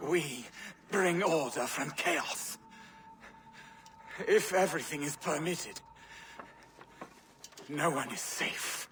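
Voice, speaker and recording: A man's voice: a little high, slow, long pauses, distant mic, noisy background. An actor playing a haughty, zealous, ailing male villain in a dramatic video game. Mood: solemn.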